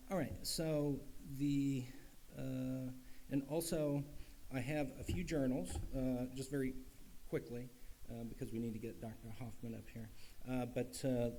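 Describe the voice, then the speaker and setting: A man's voice: mid-range voice, mellow, a little hiss or hum, rough recording. Man hosting a conference, lots of uhs. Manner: friendly, filler-heavy, with many uhs. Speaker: association chair and conference host. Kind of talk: conference welcome speech. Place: conference hall.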